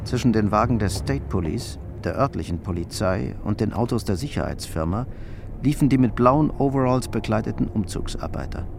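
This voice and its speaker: A man's voice: on the low side, expressive, moderate pace, gravelly, noisy background. A man narrating a crime radio play in a scripted, literary style. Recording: music under the voice. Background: music.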